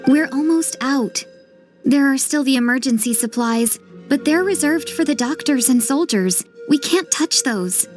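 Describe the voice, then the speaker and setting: A woman voicing a caring, grateful young village woman in a video game, with an earnest, gentle manner. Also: high-pitched, lively intonation, a little slow, faint music behind. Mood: worried.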